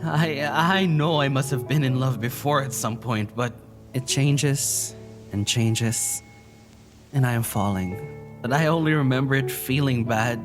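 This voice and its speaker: An actor playing an anxious, poetic being in an audio drama, speaking in halting, earnest stammers. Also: medium pitch, natural voice. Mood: dreamy, awed. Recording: music underneath.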